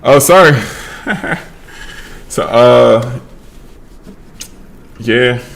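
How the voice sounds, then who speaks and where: A man casually chatting on a livestream while he paints, relaxed and slurring his words together, trailing off mid-sentence. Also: mid-range voice, very slow, vocal fry. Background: faint lo-fi background music.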